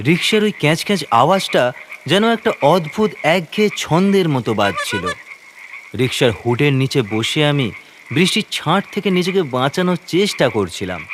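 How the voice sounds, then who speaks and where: A man's voice: on the high side, big pitch swings, normal speed. Male horror narrator, theatrical suspense, swooping intonation, scripted storytelling, light noisy frog ambience. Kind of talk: dramatic scripted first-person narration. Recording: faint ambient noise, croaking frogs behind.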